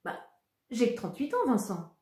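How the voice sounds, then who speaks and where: A woman reading aloud voices a soft-spoken, affectionate mother in her late thirties. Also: fairly high pitch, huge pitch swings, normal speed, pitched above the performer's own voice. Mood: tender. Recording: roomy echo.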